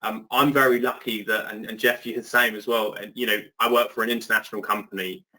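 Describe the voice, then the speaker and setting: A man's voice: on the low side, steady tone, fast, pushed and bright, rough recording. An English man speaking as a guest on a webinar, chatty and loose, with many fillers and restarts, on a video call. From England. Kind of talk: conversational webinar discussion.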